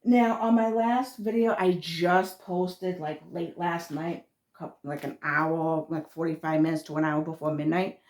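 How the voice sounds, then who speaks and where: A woman with a thick Massachusetts accent talks in a somewhat low voice. She rambles casually as a spiritual vlogger, trailing off mid-thought and restarting words. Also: fairly even intonation, measured pace, darkish tone.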